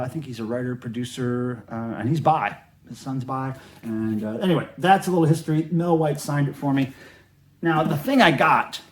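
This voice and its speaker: Male collector-vlogger, voice a bit on the high side, casual and rambling show-and-tell delivery. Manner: rambling, offhand, conversational. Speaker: record collector host. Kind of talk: casual show-and-tell vlog about records.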